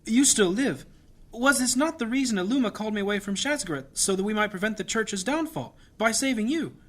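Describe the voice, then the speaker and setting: A woman's voice: mid-range voice, expressive, a little fast, close to an everyday voice. A woman playing a humble, troubled small-town priest, earnest and dramatic, in a fantasy audio drama.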